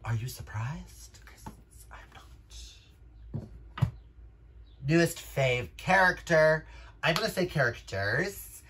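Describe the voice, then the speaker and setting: A man's voice: fairly high pitch, expressive, takes it slowly, long pauses, a bit bright, slight background noise. A man chatting casually as a book vlogger, in a gushing, emphatic and exclamatory manner. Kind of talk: casual chat to camera.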